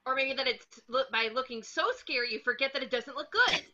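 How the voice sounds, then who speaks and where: An actor voicing an excitable, naive young male cartoon sea sponge, eager and childlike, in a script reading. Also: high voice, a little fast, highs cut off.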